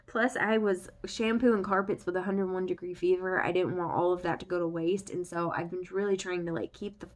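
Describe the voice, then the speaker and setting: A young woman vlogging casually, rambling with lots of fillers, her voice still congested from the flu. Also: on the low side. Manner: chatty, rambling with many fillers. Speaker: family vlogger. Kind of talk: casual chat to camera.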